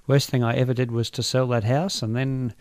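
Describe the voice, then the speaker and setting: A man in his forties with an Australian accent, a doctor talking as a guest in a radio studio, relaxed and thoughtful with frequent fillers. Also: medium pitch, fairly quick, dry recording. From Brisbane, Australia. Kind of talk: radio interview. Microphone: studio microphone.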